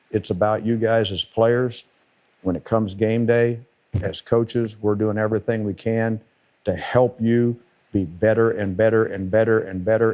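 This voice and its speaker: A man's voice: lowish voice, a little mellow. A middle-aged man with a powerful voice, a football defensive coordinator talking casually on a podcast and stressing points by repeating words.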